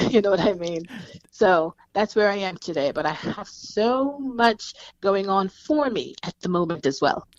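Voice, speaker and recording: Middle-aged woman, Jamaican accent, conversational interview guest, dull muffled connection, medium pitch. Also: animated, measured pace.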